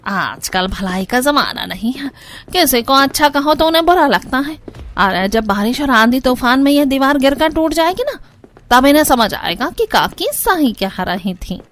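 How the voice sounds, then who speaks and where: A woman's voice: sits high, very animated, moderate pace, fairly bright tone, slight background noise. A woman voicing a middle-aged village neighbour in a cartoon, nosy and mocking, with a scolding, exclamatory way of talking. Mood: grumbling. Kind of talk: animated Hindi cartoon story acting.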